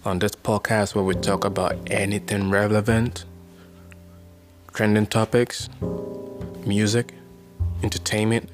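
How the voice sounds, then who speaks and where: A man's voice: lowish voice, level tone, unhurried, long pauses, music in the background. A Ghanaian man hosting a podcast, reading news stories aloud and then reacting to them with exclamations and comments. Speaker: podcast host.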